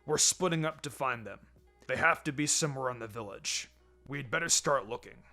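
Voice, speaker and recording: A narrator voicing a gruff small dog who leads a ninja pack, with music behind. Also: medium pitch, expressive, moderate pace, bright, forceful tone, voice pushed down. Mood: commanding.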